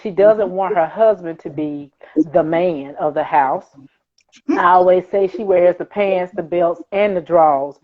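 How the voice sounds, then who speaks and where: A woman's voice: on the low side, unhurried. A woman from Mississippi with a Southern US accent, a guest author explaining her work conversationally, earnest and emphatic. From Mississippi, United States. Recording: thin phone-like online call audio.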